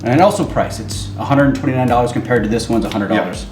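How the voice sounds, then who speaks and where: A man presenting a casual how-to in an explanatory, measured way, as co-host of a gear channel. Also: mid-range voice, darkish tone, soft background music.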